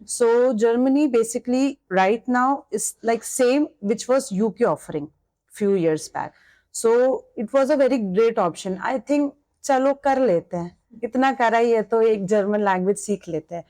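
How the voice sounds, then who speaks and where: A woman's voice: a little high, moderate pace, warm tone, no echo. A young woman with an Indian English accent, a nursing candidate answering podcast questions candidly and slipping into Hindi now and then.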